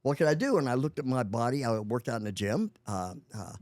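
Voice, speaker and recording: A man's voice: mid-range voice, big pitch swings, a little fast, darkish tone, no echo. A man in his eighties, a guest in a casual interview, talking with many restarts and chuckling often. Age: 80s.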